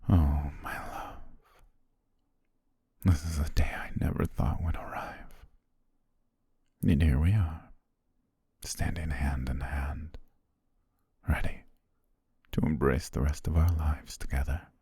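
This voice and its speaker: A very low, gravelly, husky voice: a man playing a formal, devoted butler murmuring to his princess bride, slow and hushed with a hint of quiet possessiveness. It sounds close and completely dry, like an intimate audio drama. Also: fairly even intonation, dry recording, exaggerated voice. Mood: tender, hushed. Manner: soft, formal, half-whispered delivery. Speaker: ASMR roleplay voice actor. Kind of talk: intimate ASMR roleplay monologue.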